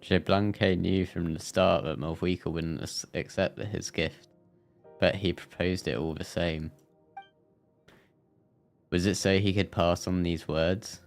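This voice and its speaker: Deep voiced streamer guy reacting to a game n reading lines out, music in background. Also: steady tone, a little slow, creaky. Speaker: game livestreamer. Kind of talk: live game commentary and reading dialogue aloud.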